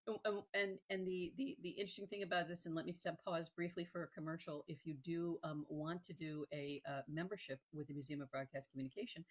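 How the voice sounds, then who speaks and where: Woman interview host, low voice, chatty, stops and repeats words as she goes. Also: fairly even intonation. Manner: halting, repeats words while thinking. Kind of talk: conversational interview hosting.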